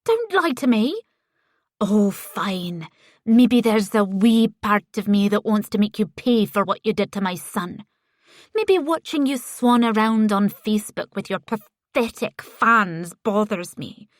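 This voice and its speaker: Woman putting on a gravelly-voiced spiteful older lady, all smug glee, pitched a bit high, dead-dry sound. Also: expressive, measured pace, no room sound. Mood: gleeful mocking. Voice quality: gravelly smoker's voice. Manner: mocking, gleeful, taunting. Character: spiteful gloating older woman, a grieving mother. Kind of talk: audiobook character voice.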